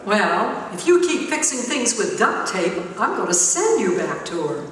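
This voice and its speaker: A woman's voice: medium pitch, very animated, moderate pace, a bit bright. A woman playing a chirpy wife in an old-time radio comedy, with a projected, theatrical delivery on a theater stage. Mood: breezy, sly. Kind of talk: 1940s radio comedy acting. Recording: echoey hall from far off, faint noise.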